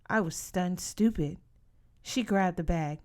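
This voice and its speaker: A woman narrating a first-person story as an audiobook reader, with expressive delivery. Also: lowish voice, slow.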